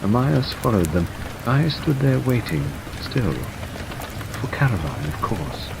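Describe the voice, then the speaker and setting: A man puts on a fretful elderly country gentleman for an audiobook, his voice flying up high and swooping about whenever he protests. Steady rain hisses behind him. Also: measured pace, gravelly. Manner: flustered, hesitant, rising high in pitch. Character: fussy, gentle older English country gentleman.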